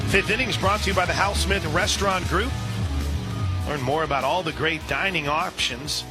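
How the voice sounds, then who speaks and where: A man doing live radio play-by-play for a softball game, chatting between pitches and shouting on big plays. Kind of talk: live radio sports commentary.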